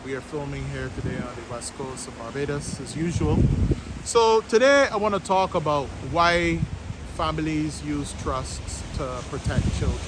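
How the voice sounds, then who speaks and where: A man in his fifties giving an informal explanatory talk to camera outdoors, speaking deliberately in short, halting phrases. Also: on the high side, animated. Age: 50s. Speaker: vlog host, business owner. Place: outdoors by the sea, west coast of Barbados. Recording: loud wind noise on microphone.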